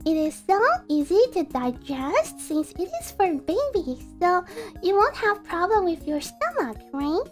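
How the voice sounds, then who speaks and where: Woman, very high voice, insistent, chatty streamer, faint background music. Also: sing-song, a little slow. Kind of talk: casual debut stream self-introduction.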